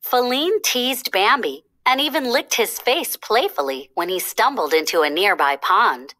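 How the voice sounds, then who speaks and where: A woman narrates a children's storybook in a bright, clear-cut storytelling manner. She takes her time, and her pitch moves in lively, expressive swings as she reads aloud. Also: a little slow.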